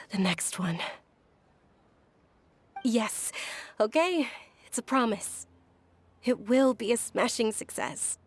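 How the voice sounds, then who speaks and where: Breathy, gentle young noblewoman. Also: fairly high pitch, expressive, slow pace, pauses often, full character voice. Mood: wistful. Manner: reassuring, wistful. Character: gentle, selfless young noblewoman, quietly resigned. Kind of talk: video game voice acting.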